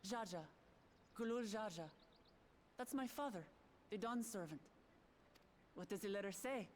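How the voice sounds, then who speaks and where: A woman voicing an earnest, excitable young warrior princess in a video game, forthright and emphatic in her speech. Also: mid-range voice, measured pace, slight background noise, natural voice. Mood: intent. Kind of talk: video game cutscene acting.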